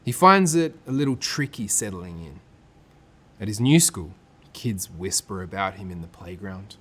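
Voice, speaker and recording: Animated Australian man reading to children. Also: deep voice, moderate pace. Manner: expressive, clear phrasing for children. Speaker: storytime presenter and reader.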